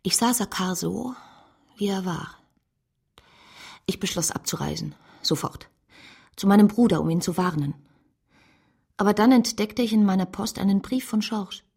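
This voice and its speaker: An actress playing an earnest, lovestruck woman who narrates her own life in a measured, literary radio drama style. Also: mid-range voice, vocal fry, a little mellow, slightly rough. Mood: resolved, absorbed.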